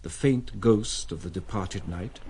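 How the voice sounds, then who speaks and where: A man's voice: sits low, a little slow, a little mellow, breathy edge. A man giving dramatic scripted narration of a literary story.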